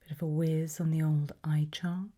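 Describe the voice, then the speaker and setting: A woman whispering a medical exam roleplay in ASMR style, breathy and hushed, slow, deliberate and gently coaxing. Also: sits low, flat delivery. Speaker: ASMR performer playing an examiner.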